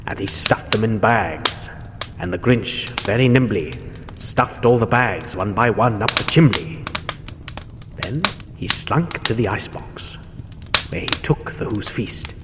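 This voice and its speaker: A man narrating a rhyming story dramatically as the storyteller of a show. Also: fairly low pitch. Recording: echoey hall, noisy background.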